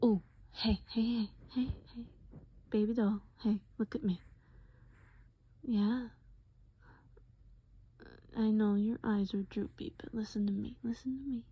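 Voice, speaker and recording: A woman playing a gentle, patient boyfriend in acted-out roleplay, coaxing with pet names and simple, repeated reassurances. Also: on the high side, steady tone, a little slow, long pauses, slightly rough, no room sound, highs cut off. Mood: tender, coaxing. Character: gentle, patient boyfriend soothing a groggy partner.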